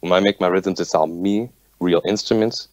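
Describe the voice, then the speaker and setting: A man who makes reggae rhythms, talking passionately and fast in a casual radio discussion. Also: low-pitched, fairly even intonation, a little mellow, no room sound. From United States. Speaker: reggae producer and musician.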